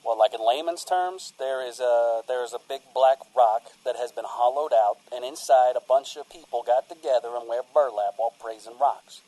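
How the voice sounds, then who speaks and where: Radio comedy guest: a sincere, rambling small-town cult father voiced by a man, relaxed and dark-toned. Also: medium pitch, moderate pace, hardly any pauses, close to an everyday voice, low-quality recording. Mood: earnest. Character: earnest, folksy male priest of a rock cult. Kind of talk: comedic radio-play interview.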